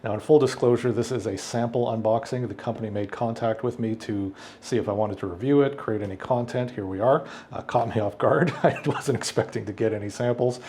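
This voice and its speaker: A man's voice: medium pitch, a little fast, hardly any pauses, dark tone, slight background noise. A middle-aged man hosting an unboxing, talking casually and unscripted, thinking aloud as he goes. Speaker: unboxing video host, AV professional.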